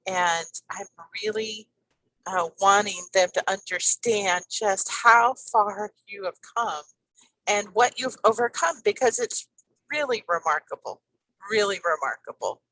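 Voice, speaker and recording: A woman's voice: medium pitch, lively intonation, unhurried, a bit bright, no room sound, rough recording. A woman in her fifties hosting a podcast, talking to her guest in an enthusiastic, rambling way full of fillers. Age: 50s. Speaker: podcast host. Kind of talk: conversational interview.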